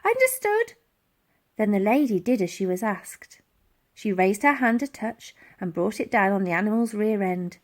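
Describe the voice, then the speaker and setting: A woman narrating a children's adventure story in an animated storytelling style. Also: medium pitch, moderate pace. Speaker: audiobook reader.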